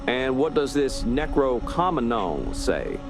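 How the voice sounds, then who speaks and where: An actor playing a courteous, skeptical police inspector with a US Southern accent, speaking in a folksy, measured way in a radio drama. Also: male voice, mid-range voice, very animated, gravelly, over music, full character voice. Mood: skeptical questioning.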